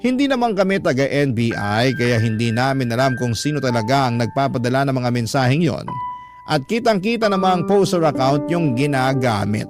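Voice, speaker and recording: A man narrating a troubled letter-writer's first-person story for a radio drama in a measured storytelling manner. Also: medium pitch, animated, over music, lightly acted. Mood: somber, uneasy. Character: troubled female teacher telling her story in a letter.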